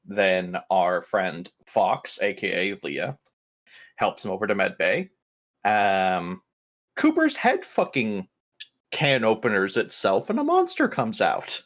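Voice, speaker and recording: A man with a slightly low voice that swings around a lot in pitch. He recaps a game session off the cuff, casual and irreverent, swearing and cracking jokes as he goes. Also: sing-song, slow pace, fairly bright tone. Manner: profane, joking, irreverent. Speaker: tabletop RPG player giving a session recap.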